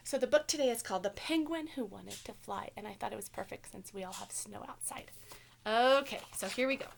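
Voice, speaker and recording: A woman's voice: medium pitch, brisk, gravelly, a little hiss or hum. A woman teacher reading a picture book aloud to children, expressively and with simple questions for the listeners. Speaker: elementary school teacher.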